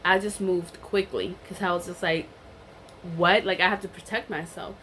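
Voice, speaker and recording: A young woman with a slightly low voice tells a story, loose and chatty, with many fillers and restarts. Her nose sounds a little stuffed up from a cold. A faint hiss of noise runs behind her. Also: steady tone, normal speed, fairly bright tone, low-quality recording. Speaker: vlogger. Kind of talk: casual storytime while eating.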